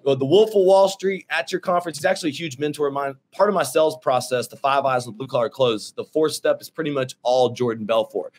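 Excitable guy mid 30s, webcam in his office, says dude and bro a lot. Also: medium pitch, fast talker, few pauses. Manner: brash, excitable, swears freely, calls people dude and bro. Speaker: roofing company owner and host. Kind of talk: casual video-call chat. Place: office with shelves.

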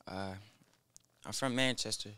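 A nineteen-year-old man, a rapper guest in a small studio, speaking haltingly into a boom mic with many fillers. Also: pushed and bright, smooth, no room sound. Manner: halting, mumbled, full of fillers. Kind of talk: casual interview. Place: small studio with foam wall. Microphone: studio mic on boom arm, headphones.

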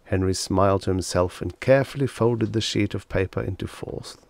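A man narrating a story as an audiobook reader, measured and expressive. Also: lowish voice, gravelly, no echo.

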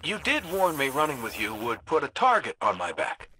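An actor playing a cocky, wisecracking robot gunslinger in a video game, with an American accent and a wry, folksy, sardonic way of talking. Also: male voice, medium pitch, wildly swooping pitch, moderate pace, pushed and bright, slight background noise, rough recording. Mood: teasing. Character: cocky wisecracking male robot gunslinger, roguish hunter leader. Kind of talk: video game voice acting, recorded farewell messages.

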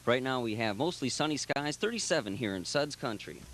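A young man DJing country music on air in a small radio studio, chatty and inviting calls, speaking into a boom mic with headphones on. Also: animated, fairly quick, a bit bright. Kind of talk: friendly on-air patter.

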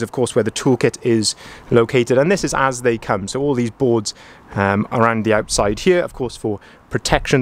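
A young man doing a walkaround review of a van outdoors, upbeat and enthusiastic with frequent ums. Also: mid-range voice. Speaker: van salesman. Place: outdoor car lot beside a van. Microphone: camera-mounted mic, filming while walking.

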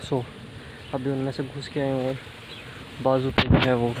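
A man's voice: medium pitch, monotone, a little slow. A young man in his twenties walking through farm fields and casually explaining what he is doing while filming himself on a phone. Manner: casual and explanatory, mixes English words into his talk. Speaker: vlogger. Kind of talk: casual walking vlog narration. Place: outdoors among sugarcane fields and dirt paths. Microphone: phone camera held at arm's length. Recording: outdoor phone audio with clear background noise.

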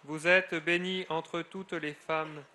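A middle-aged friar leading solemn prayer in a measured cadence, his phrases falling away at the end. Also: fairly high pitch, little pitch movement, sharp tone. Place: outdoors at a grotto shrine.

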